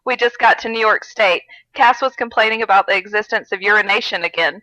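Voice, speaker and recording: Bright sorta high lady on a podcast, giggly n wry, reading stuff out for laughs, dry room. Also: fairly quick, dry recording, low-quality recording. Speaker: podcast co-host. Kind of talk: comedic read-aloud of fan fiction with casual chat.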